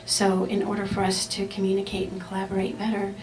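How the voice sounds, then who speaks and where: A woman science teacher speaks loudly and matter-of-factly, keeping her pitch very level. Her voice sounds distant, with light noise in the room behind her. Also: quick pace. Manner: loud, even and matter-of-fact. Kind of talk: short acceptance speech.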